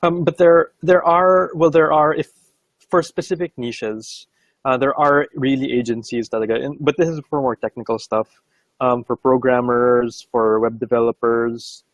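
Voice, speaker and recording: A man's voice: mid-range voice, steady tone, moderate pace, no room sound. A Filipino man whose first language is Bisaya, hosting a live interview in a casual, hesitant way. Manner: casual, hesitant, many fillers. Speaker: host.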